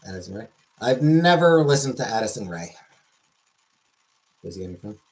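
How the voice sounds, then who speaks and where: A man's voice: lowish voice, very animated, moderate pace, low-quality recording. A man hosting a livestream, rambling and joking as he reads chat messages aloud. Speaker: livestream host. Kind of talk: casual chat.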